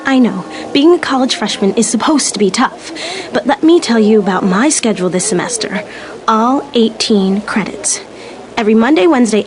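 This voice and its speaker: A woman's voice: medium pitch, lightly acted, rough recording. A woman acting an earnest young college freshman in a scripted radio ad monologue. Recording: crowd murmur behind.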